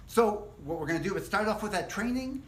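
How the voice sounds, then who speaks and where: A man teaching a casual live guitar workshop, explaining things step by step in a relaxed, rambling way. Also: sits high, a little fast, a little hiss or hum. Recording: echoey room.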